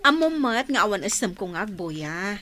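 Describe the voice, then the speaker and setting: A woman's voice: mid-range voice, sing-song, moderate pace, a bit bright, faint music behind. A woman playing a stubborn, resentful working wife in a radio drama, curt and dismissive, given to exclamations. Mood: grudging. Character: resentful, stubborn working wife bitter toward her father.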